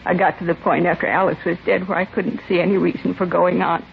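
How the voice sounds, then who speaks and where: An American woman acting the part of a grieving, resigned mother in her late forties, subdued and halting, in a 1950s radio drama. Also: on the low side, flat delivery, creaky. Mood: sorrowful, resigned. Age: middle-aged. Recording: dull, noisy vintage radio recording.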